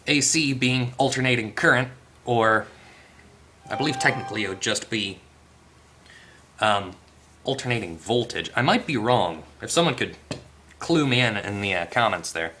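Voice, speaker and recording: Bright-voiced man giving a casual repair tutorial. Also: mid-range voice, normal speed, pauses often, slight background noise. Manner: tentative, self-correcting, thinking aloud. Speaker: host of an electronics repair tutorial. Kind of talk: casual hands-on explanation, unscripted.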